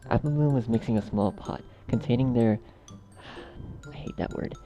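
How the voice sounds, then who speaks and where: A man's voice: medium pitch, unhurried. A man narrating a story in outtakes, exasperated, restarting sentences and swearing at himself in asides. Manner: exasperated, self-berating, sweary. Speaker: narrator and editor. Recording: loud music over the voice. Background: loud music.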